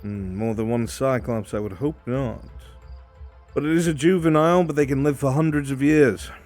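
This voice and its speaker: A man's voice: mid-range voice, normal speed, darkish tone, soft background music, natural voice. A man playing a terse, authoritative monster-hunting inquisitor in an improvised fantasy roleplay. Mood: grave, measured. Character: commanding, grave monster-hunting inquisitor, military superior.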